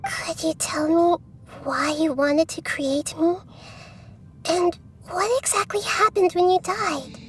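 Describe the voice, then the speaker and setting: A woman voicing a small, earnest, thoughtful young child goddess in a video game, soft-spoken and wondering. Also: extremely high pitch, steady tone, slow, a little hiss or hum. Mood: curious. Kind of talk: dramatic game voice acting.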